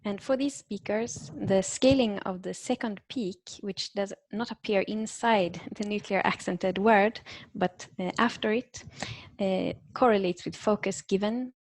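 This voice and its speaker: A woman's voice: medium pitch, dry recording. Woman giving an academic talk. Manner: measured, frequent "uh" fillers, explanatory. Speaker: linguistics researcher presenting a talk.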